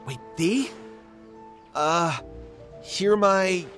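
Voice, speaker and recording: An actor voicing an earnest, anxious struggling young writer in a video game, flustered, hesitant and pleading. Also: male voice, high voice, very slow, fairly bright tone, soft background music, heavily acted. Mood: hesitant, unsure.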